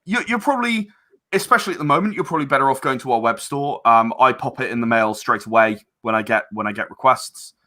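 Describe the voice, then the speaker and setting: A man's voice: mid-range voice, fast, bright, forceful tone. A man chatting casually as an interview guest, hesitant and self-correcting, earnest and polite. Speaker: interview guest, game publisher and audio drama creator.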